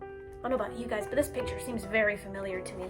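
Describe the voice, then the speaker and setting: A woman reading a picture book aloud to young children in an expressive, teacherly way, speaking to them directly. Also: medium pitch, fast talker, loud music behind the voice. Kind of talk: children's storybook read-aloud.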